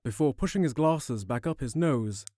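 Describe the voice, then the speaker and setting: A man narrating a scripted fantasy audio drama in a measured, dramatic storyteller manner. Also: mid-range voice, lively intonation, dark tone, no room sound, studio quality. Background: music.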